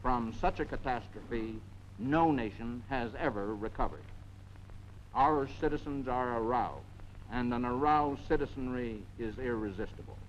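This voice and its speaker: Grave American orator, dull vintage recording. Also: male voice, mid-range voice, lively intonation, a little slow. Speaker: former ambassador, anti-crime committee head. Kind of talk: formal prepared public statement. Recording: dull, faintly noisy 1950s newsreel sound.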